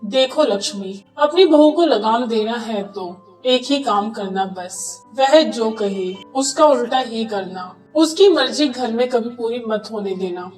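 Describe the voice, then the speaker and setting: A woman's voice: a little high, animated, unhurried, few pauses, a little mellow, reverberant, distant mic, soft background music, low-quality recording. A woman voicing a scheming neighbour who hands out advice in a conspiratorial, instructing way in a cartoon story.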